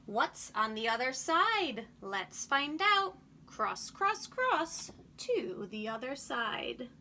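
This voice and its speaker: A woman reading a picture book aloud to young children in an animated, sing-song, expressive way. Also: sits high, slow, slight background noise.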